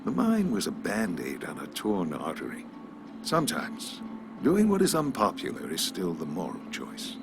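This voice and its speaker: A man playing a courteous, philosophical older small-town pharmacist in a video game, talking in a polite, measured, folksy way. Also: low voice, wildly swooping pitch, creaky, noisy background, heavily acted. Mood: advising. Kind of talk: video game character dialogue.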